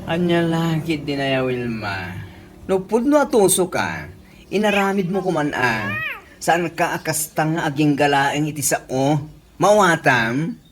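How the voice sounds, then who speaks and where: A woman acting a low-voiced, sassy village friend. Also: lively intonation, a little slow. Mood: reproving. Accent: Ilocano. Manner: sassy, reproving, exclaiming. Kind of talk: Ilocano comedy radio drama acting. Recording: noisy home-made radio drama recording.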